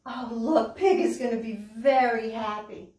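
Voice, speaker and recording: Casting: older American woman, children's storytime host, fairly high voice, unhurried, recorded roomy and a little distant. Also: steady tone, darkish tone. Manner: simple, encouraging questions addressed to young children. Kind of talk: children's storytime with puppets, songs and read-aloud. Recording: roomy, distant mic, background hiss.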